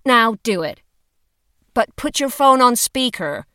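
A woman's voice: fairly high pitch, very animated, slow pace, sharp tone, dry recording, clean studio sound, pitched above the performer's own voice, heavily acted. A woman voicing a brash, bossy but loyal female friend in an audiobook, blunt and commanding. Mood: bossy, insistent. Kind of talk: audiobook character dialogue.

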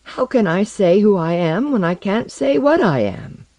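Reader putting on an anxious earnest guy, proud, insistent questions, pitched up, storybook character. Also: animated, a little slow, a little mellow, dry recording, natural voice. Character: anxious, proud, searching male blank character seeking identity.

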